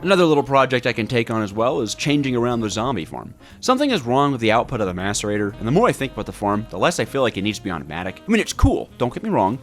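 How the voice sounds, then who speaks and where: A man's voice: medium pitch, big pitch swings, very fast, few pauses. A man delivering scripted video game narration in a theatrical, wryly exasperated way. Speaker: video game player narrating his own playthrough. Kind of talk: scripted gameplay narration with dramatized reactions. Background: background music.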